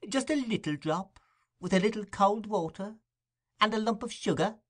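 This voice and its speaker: Man reader doing fawning scheming matron, high shrill put-on voice, wheedles and gushes. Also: lively intonation, normal speed. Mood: coaxing. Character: fawning scheming middle-aged woman nurse.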